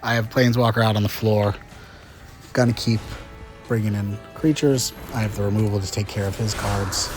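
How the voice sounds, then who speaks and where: A man's voice: fairly low pitch, steady tone, normal speed, faint music behind. A chatty, upbeat man hosting casual gameplay commentary with frequent fillers, a little congested from a cold. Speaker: gaming channel host.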